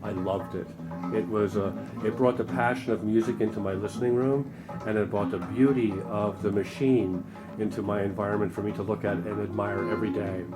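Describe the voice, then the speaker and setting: Male show reporter, lowish voice, effusive and appreciative, casual interview with music playing behind. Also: steady tone, a little fast. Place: indoor trade show demo room.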